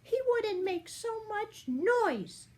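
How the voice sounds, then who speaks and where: An older woman voicing a mischievous little female fairy in a squeaky, high voice while reading a storybook aloud. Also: sing-song, extremely slow, darkish tone, exaggerated voice. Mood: scheming.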